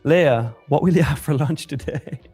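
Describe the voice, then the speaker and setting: A man's voice: fairly high pitch, moderate pace, dark tone, husky. Joking man from Switzerland, music behind. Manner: joking, sweary. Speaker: livestream co-host. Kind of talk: casual livestream chat.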